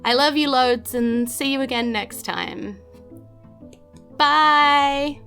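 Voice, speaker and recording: A New Zealand woman running a tabletop game for a podcast, with a bright, slightly high voice. She chats casually and giggles often, filling her pauses with "um" and "uh". Faint music plays behind her. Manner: chatty and giggly, with many fillers. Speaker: game master. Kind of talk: podcast outro and supporter thanks.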